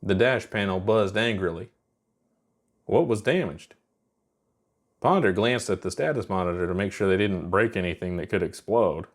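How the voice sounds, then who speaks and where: Narrator: male, fairly low register, scripted fiction storytelling. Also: normal speed, pauses often.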